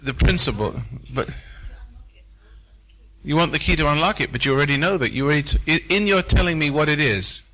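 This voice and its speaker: A man's voice: on the high side, expressive, fairly quick, sharp tone, poor audio. An older man speaking to a live seminar audience in a hotel room, folksy and preacherly, repeating phrases for emphasis.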